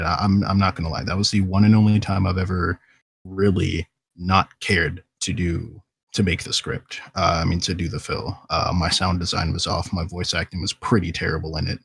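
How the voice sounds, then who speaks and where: A man's voice: deep voice, gravelly, husky. A man telling a casual story as a podcast guest, candid and self-deprecating. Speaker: voice actor guest.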